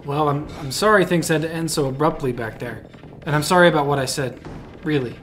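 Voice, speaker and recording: A man's voice: on the high side, fairly quick, fairly bright tone, lightly acted. A man acting a lighter-voiced young hero. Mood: apologetic. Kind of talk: fan-made superhero audio drama acting.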